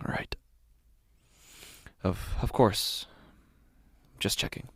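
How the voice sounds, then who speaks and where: An actor playing a devoted, anxious, obsessive father in a horror audio drama monologue, speaking haltingly and intensely. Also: fairly bright tone, whispered. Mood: troubled, strained. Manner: hesitant, halting, intense, sighing.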